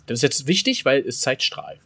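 A man's voice: mid-range voice, sing-song, a little fast, vocal fry, noisy background. A man chatting casually as a podcast co-host, telling stories in a colloquial, emphatic way. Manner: colloquial, emphatic, mild swearing. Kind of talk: casual podcast chat and storytelling.

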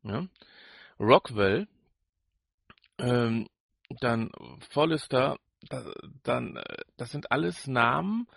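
A man with a medium-pitched voice chats as a podcast co-host. He speaks casually and haltingly, doubling back on words, in a dry sound with no room echo. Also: animated, vocal fry, fairly bright tone. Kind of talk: casual chat reviewing an audio drama.

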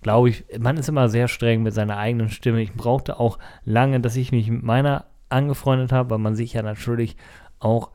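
A man's voice: medium pitch, fairly even intonation, moderate pace, mellow, smooth. A man co-hosting a casual podcast in a conversational, explanatory way, speaking close to the microphone at a table. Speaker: podcast co-host and recording engineer. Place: seated at a table.

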